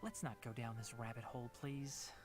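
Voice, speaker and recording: A man's voice: medium pitch, fairly even intonation, normal speed, over music, close to an everyday voice. An actor playing a dry, formal and articulate male scholar in an anime game. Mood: dry, sardonic.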